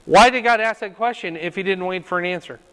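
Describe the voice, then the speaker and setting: An older man with a loud voice leading a Bible class, quizzing his group and pressing them to answer. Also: high-pitched, fast talker, smooth. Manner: questioning, repeats and presses for answers. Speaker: Bible study teacher. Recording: reverberant room.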